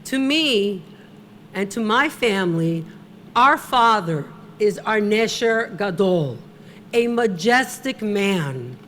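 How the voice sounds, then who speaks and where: A middle-aged woman presenting an award at a gala, giving a slow, deliberate, emotional tribute speech at a podium microphone. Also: mid-range voice, lively intonation, a bit bright, a little hiss or hum.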